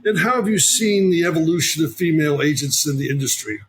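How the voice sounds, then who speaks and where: A man hosting an interview, asking formal questions in a polite, measured way. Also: on the high side, expressive, slight background noise. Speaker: interviewer, host.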